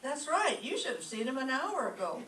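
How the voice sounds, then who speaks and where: Woman acting a wry, high-voiced wisecracking schoolteacher. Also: very animated, moderate pace, a bit bright. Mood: deadpan, helpful. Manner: dry, quippy. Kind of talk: live old-time radio comedy reenactment. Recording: echoey stage, distant mic, faint noise.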